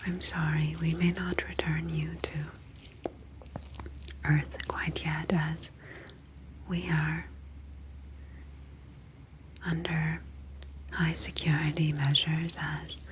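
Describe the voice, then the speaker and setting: A woman voicing a calm, clinical ocean alien scientist in an ASMR sci-fi roleplay, whispering breathily with formal, measured phrasing. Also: low-pitched, noisy background. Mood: apologetic, explaining.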